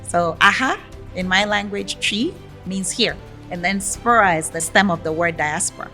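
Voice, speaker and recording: A woman from Ghana, a podcast guest talking in a lively, emphatic way and laughing often. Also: mid-range voice, moderate pace, soft background music. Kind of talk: conversational interview.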